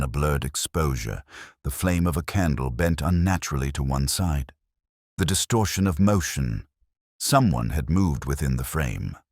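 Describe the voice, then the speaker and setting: Very deep husky male narrator. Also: a little mellow, no echo, clean studio sound. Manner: measured, brooding, literary delivery. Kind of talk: scripted first-person detective narration.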